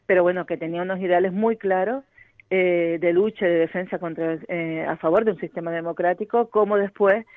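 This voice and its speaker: A woman's voice: fairly low pitch, steady tone, a little fast, no room sound. A woman novelist from Gran Canaria, answering radio interview questions thoughtfully, with many fillers and restarts. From Gran Canaria, Spain. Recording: dry, tinny phone-like line.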